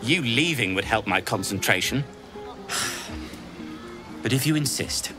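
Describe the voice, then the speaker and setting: An actor playing a blunt, brooding, impatient gnome in a video game, talking in a curt, grudging way. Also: male voice, mid-range voice, animated, a little slow, music in the background, natural voice. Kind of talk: video game character dialogue.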